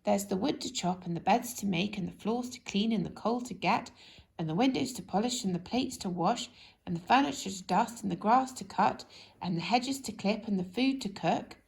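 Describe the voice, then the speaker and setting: A woman voicing a brisk, bossy busybody man from a children's storybook, loud and hectoring. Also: mid-range voice, gravelly, close to an everyday voice. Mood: hectoring, listing chores. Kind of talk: children's storybook character reading.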